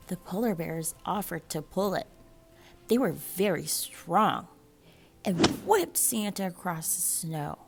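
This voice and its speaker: Expressive woman reading a storybook, unhurried. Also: mid-range voice, creaky. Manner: measured, animated storytelling with dramatic pauses. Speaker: school librarian reading a picture book aloud. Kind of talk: expressive children's storybook read-aloud.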